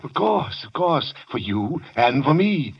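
A man acting a middle-aged doctor in a 1940s radio drama, measured and soothing yet stubbornly insistent. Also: mid-range voice, big pitch swings, breathy edge, recorded from a distance. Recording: dull lo-fi old radio recording.

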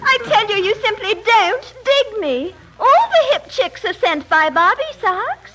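A woman's voice: very high voice, big pitch swings, unhurried, a bit bright, full character voice, rough recording. An actress playing a giddy teenage girl who speaks in jive slang, in a 1940s radio comedy. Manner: bubbly, slang-filled banter. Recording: hissy 1940s radio recording.

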